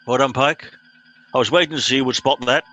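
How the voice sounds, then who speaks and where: A man playing a pompous, bossy middle-aged captain in an amateur comedy radio play, brisk and officious. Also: medium pitch, pushed and bright, muffled recording. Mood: brisk, patronising.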